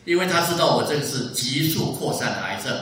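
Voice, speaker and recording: A man in his seventies from Taiwan, giving a church testimony in short measured sentences. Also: medium pitch, animated, a bit bright. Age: 70s. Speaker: guest speaker giving a testimony. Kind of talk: church testimony in short phrases, paused for interpreting. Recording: echoey church hall, distant mic.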